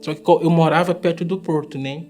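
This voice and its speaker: Man, 26, Nigerian accent, lighter higher pitch, non-native documentary testimonial with searching pauses. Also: fairly even intonation, darkish tone, faint music behind. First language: not Portuguese. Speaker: refugee, English teacher and musician.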